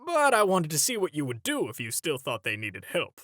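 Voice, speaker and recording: A man playing an older superhero mentor in a comic dub, paternal and gently stern, with a theatrical, measured delivery. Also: a little high, huge pitch swings, no room sound, studio quality. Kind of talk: dramatic comic dub voice acting.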